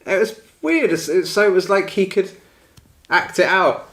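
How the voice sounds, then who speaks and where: A man's voice: high voice, expressive, normal speed, a bit bright. A man co-hosting a podcast, chatting informally with bemused, conversational asides. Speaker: podcast co-host. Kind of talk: casual chat about films and games.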